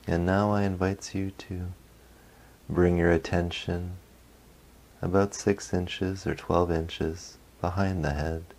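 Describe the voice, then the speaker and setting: A man leading a guided meditation as a guide, speaking gently in short phrases with long pauses. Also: sits low, level tone.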